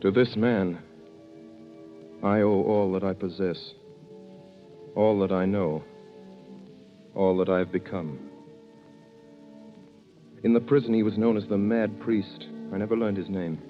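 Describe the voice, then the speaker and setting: A man's voice: lowish voice, a little slow, long pauses, lightly acted. An actor playing a young French prisoner who tells his story with dramatic earnestness in an old radio drama. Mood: grave. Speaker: narrator. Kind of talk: 1930s radio drama narration. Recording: dull old radio recording with music.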